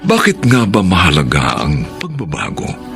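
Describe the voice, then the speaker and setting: A male radio drama narrator with a very deep, gravelly voice, speaking slowly and deliberately. His delivery is reflective, and music plays clearly behind him. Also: huge pitch swings. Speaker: narrator and director.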